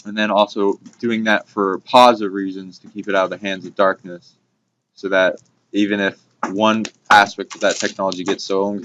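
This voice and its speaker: A man's voice: fairly low pitch, a bit bright. A man talking casually and hesitantly about spiritual ideas as a host, rambling and swearing now and then. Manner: hesitant, halting, casually profane. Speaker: host explaining esoteric ideas. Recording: muffled, noisy hobby recording with clicks.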